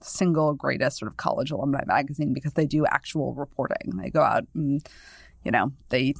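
New england woman, 30s, podcast guest, low voice with vocal fry, rambly and chatty, dry close mic. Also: brisk, dry recording. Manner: chatty, discursive, many "you know" asides, laughs easily. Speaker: guest, newspaper columnist. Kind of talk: casual podcast conversation.

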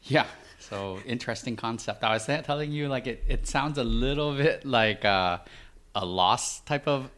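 A man's voice: mid-range voice, moderate pace. A middle-aged man from Vietnam, raised in the US, talking casually as a podcast guest with lots of ums and chuckles. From Vietnam, raised in Oregon, US. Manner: relaxed, many ums, chuckles. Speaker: guest, host's father. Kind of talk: casual interview.

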